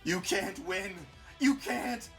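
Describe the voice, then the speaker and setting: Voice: mid-range voice, slow, a bit bright, faint music behind, pitched above the performer's own voice. A narrator voicing a desperate, hysterical female monster who screams and pleads as she dies in a dramatic story. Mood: panicked. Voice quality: garbled, breaking voice.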